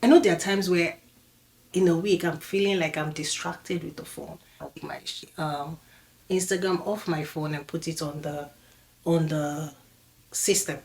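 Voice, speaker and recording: A woman's voice: deep voice, fairly even intonation, normal speed, a little mellow, poor audio. A middle-aged woman speaking as a podcast host, moderating a panel conversation.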